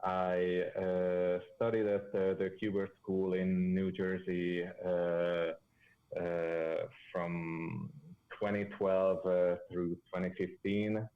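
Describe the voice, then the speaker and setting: A man's voice: low-pitched, little pitch movement, slow pace. A man, a comic colorist, speaking hesitantly with many fillers over dull, lo-fi audio. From Hungary.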